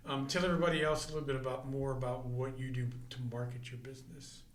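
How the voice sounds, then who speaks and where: A man's voice: medium pitch, level tone, fast, crowd noise. Teasing male podcast host in an echoey room. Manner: teasing, jokey, prompts with questions. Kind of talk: casual interview chat.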